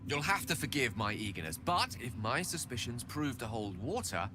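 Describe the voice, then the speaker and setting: An actor playing an eager, learned wizard who talks with slightly theatrical enthusiasm. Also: male voice, mid-range voice, very animated, normal speed, background noise, natural voice. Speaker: game companion. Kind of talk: video game dialogue. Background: music.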